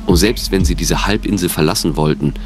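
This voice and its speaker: A man narrating an audio play from a script in a measured, grave storytelling manner. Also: deep voice. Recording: music under the voice.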